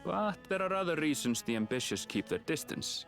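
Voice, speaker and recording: A male actor playing a knowledgeable, earnest local guide in a fantasy game, explaining things in a measured, foreboding way. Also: on the high side, expressive, a bit bright, faint music behind.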